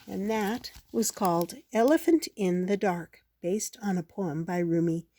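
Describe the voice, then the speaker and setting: An older woman reads a children's picture book aloud in a slow, gentle, expressive way. Her voice is mellow and soft-edged, and the recording is close and dry with no room sound. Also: medium pitch, no room sound. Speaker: storyteller.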